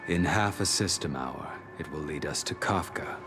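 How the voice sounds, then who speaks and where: An actor playing a grim, weary, brooding assassin in a video game, terse and deadpan. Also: male voice, extremely low pitch, normal speed. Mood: grim, stern. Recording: game music under the voice.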